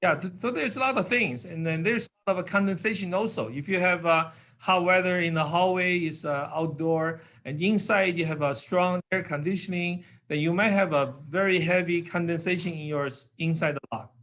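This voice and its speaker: An older man from Taiwan speaking English with a Taiwanese accent, in simple, halting sentences with fillers, as an interview guest. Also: sits high, a bit bright. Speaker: guest, company founder.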